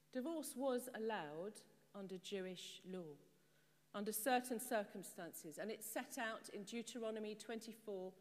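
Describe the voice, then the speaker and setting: Middle-aged woman preaching in an echoing church. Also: medium pitch. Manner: measured, explanatory preaching with rhetorical questions. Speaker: priest. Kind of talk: sermon. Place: stone church, at a pulpit. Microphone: far camera. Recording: long church reverb.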